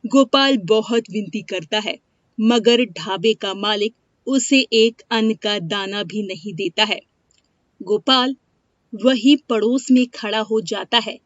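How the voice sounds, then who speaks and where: Woman narrator for moral tales, unhurried delivery, dry and slightly dull recording. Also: mid-range voice, dry recording. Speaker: storyteller. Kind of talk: scripted moral-story narration. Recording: dry, dull lo-fi studio sound.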